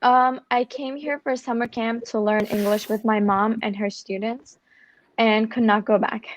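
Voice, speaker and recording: Flat-toned teenage girl from Turkey. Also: fairly high pitch, unhurried, a little hiss or hum. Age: teens. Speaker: student panelist. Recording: dry dull lo-fi audio.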